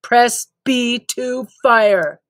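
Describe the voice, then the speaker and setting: Woman as a slow, emphatic drill sergeant. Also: fairly high pitch, fairly bright tone, no room sound. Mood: commanding. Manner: clipped, emphatic, deliberate commands. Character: stern, single-minded male drill sergeant, robotically repeating game instructions.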